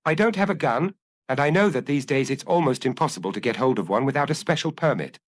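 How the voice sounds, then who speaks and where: Audiobook murderer, played by a man: lonely, edgy and unhappy, guarded short phrases, shifting pitch. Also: lively intonation, quick pace, no room sound, clean studio sound, natural voice. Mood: bleak, edgy. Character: lonely, edgy, unhappy male killer on telephone. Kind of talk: acted audiobook dialogue.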